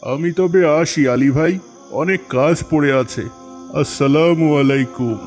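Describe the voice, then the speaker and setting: A man's voice: on the high side, unhurried, a little mellow, close to an everyday voice. A man voicing a generous neighbour in a cartoon, polite and deferential in manner. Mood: polite, friendly. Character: courteous, generous male neighbour bearing gifts. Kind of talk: animated cartoon dialogue. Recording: music behind the voice.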